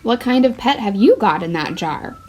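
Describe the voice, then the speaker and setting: A woman's voice: mid-range voice, expressive, normal speed, a little hiss or hum, close to an everyday voice. A woman voicing a friendly, official pet show judge in a storybook, with an announcing manner. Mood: curious.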